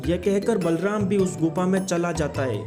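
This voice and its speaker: A man's voice: high voice, moderate pace, over music. Man narrating a cartoon story. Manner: steady storyteller.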